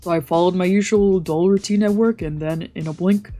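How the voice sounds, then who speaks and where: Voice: medium pitch, fairly even intonation, fairly quick, mellow. A streamer reading a visual novel aloud as storytelling narration, with casual musing asides. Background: visual novel background music.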